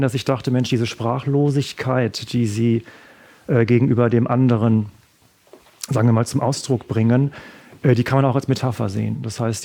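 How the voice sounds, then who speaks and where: A man, bilingual with Italian as his first language, answering questions about his debut novel in a measured way, pausing often with fillers. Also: medium pitch, creaky, dark tone. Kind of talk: thoughtful interview answers.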